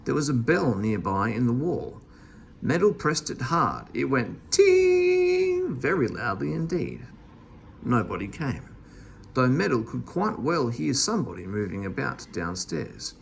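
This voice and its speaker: A man's voice: medium pitch, wildly swooping pitch, measured pace, gravelly, slight background noise. A man reading a children's story aloud as narrator. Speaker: storybook reader.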